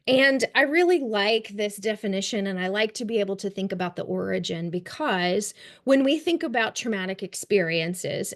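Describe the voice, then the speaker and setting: A woman with a Kentucky accent giving a trauma-informed care webinar on a video call, chatty and full of ums and light laughs. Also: mid-range voice. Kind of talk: webinar presentation.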